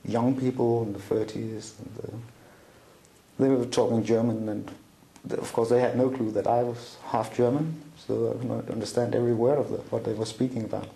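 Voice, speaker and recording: A man's voice: mid-range voice, fairly even intonation, normal speed, creaky, dark tone, reverberant, poor audio. A middle-aged man with a Danish accent recounting a personal story in an interview, with many fillers and restarts. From Denmark. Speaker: interview guest. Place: small room indoors, seated at a table.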